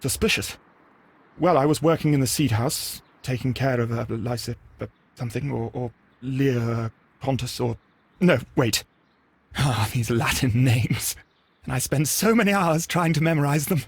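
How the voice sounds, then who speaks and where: A flustered young gardener in a detective game. His mid-range voice is husky. He speaks earnestly and somewhat hesitantly, in simple formal sentences. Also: medium pitch, normal speed. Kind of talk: scripted game dialogue, giving testimony.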